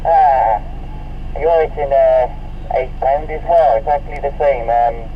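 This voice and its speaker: A man's voice: mid-range voice, slow pace. Matey man on muffled CB radio. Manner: matey, informal, acknowledging signals. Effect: CB radio transmission. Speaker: CB radio operator. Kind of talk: casual radio chat. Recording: muffled distant CB radio, faint noise.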